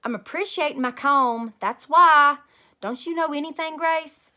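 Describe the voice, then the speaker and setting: A woman's voice: high-pitched, a little slow, pushed and bright, pitched above the performer's own voice. A woman voicing a grouchy, defiant kindergarten girl in a storybook, with childish grammar and an indignant manner.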